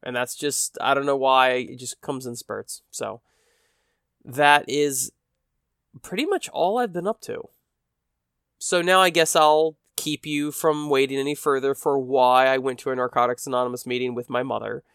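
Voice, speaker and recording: A man's voice: mid-range voice, steady tone, normal speed, fairly bright tone, smooth, no room sound, clean studio sound. A man hosting a casual solo podcast, chatting in a rambling, self-deprecating way while sounding a bit stuffy from allergies. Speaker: podcast host.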